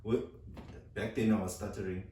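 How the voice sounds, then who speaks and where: Halting, stuttering man, low voice. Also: flat delivery, mellow, very echoey, distant mic, slight background noise. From South Africa. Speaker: podcast co-host. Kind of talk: casual studio chat. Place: recording studio.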